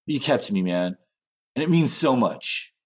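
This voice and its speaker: Man acting as insecure aging male rock vocalist, lively pitch, husky, rambling, repetitive, improvised stage scene. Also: measured pace. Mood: gushing, grateful. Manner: rambling, stammering, repetitive, sweary. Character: needy, insecure aging male rock singer, past his prime. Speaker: actor in an improvised theater scene. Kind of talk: improvised monologue and dialogue acting.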